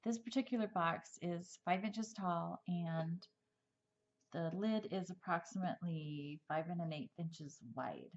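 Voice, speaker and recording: A woman giving a casual craft tutorial at a table, chatty and rambling with frequent ums, slightly hoarse as she loses her voice. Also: lowish voice. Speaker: crafter showing a handmade project. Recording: dull, lo-fi home recording.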